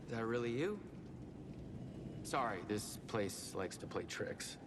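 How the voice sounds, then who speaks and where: An actor playing a weary, bewildered sheriff trapped in a dreamlike place, in a video game cutscene, with a gravelly voice. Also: male voice, mid-range voice, expressive, a little slow, noisy background, close to an everyday voice. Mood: weary, puzzled.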